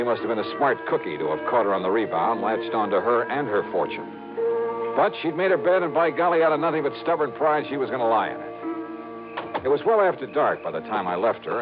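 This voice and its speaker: An actor playing a wisecracking male insurance investigator in a 1950s radio drama, dry and quick-witted, who also narrates the story. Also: medium pitch, natural voice. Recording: muffled vintage radio broadcast with music.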